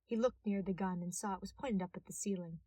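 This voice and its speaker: A woman's voice: mid-range voice, fast talker, warm tone, dry recording, recorded from a distance. A woman reading scripted fiction aloud as a narrator. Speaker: podfic narrator.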